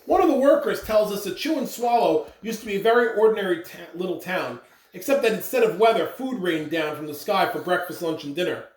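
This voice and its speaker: A person reading a children's storybook aloud with expressive, animated delivery. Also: mid-range voice, brisk. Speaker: school staff member reading aloud. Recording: roomy, distant mic with clear background noise.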